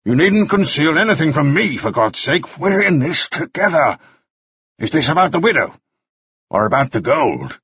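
A man's voice: a little high, sing-song, normal speed, fairly bright tone, slightly rough, lo-fi sound, pitched above the performer's own voice, heavily acted. A man voicing a brash, hot-tempered and suspicious young man who talks in a blustering, defensive way. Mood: impatient.

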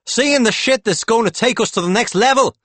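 A man voicing a brash, foul-mouthed, excitable male banker in an audiobook, talking emphatically and impatiently like an evangelist for his schemes. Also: mid-range voice, normal speed, dry recording, natural voice. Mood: excited, forceful. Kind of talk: audiobook character dialogue.

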